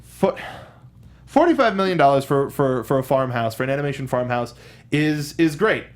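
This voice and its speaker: Animated man co-hosting a movie discussion show. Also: mid-range voice, normal speed. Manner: animated, acts out imagined quotes. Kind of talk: conversational movie commentary.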